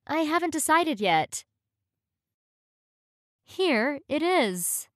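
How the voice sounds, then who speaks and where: A woman reading short English practice phrases in a clear, measured way, each one said twice. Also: high voice, big pitch swings, no echo, studio quality.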